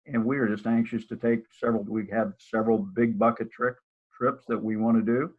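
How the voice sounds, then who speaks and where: Low-voiced older man on a tinny line. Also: fairly even intonation, fairly quick. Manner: rambling, with many restarts and fillers. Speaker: real estate broker and office manager. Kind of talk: casual interview over a live video call. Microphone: video call. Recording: tinny phone-like audio.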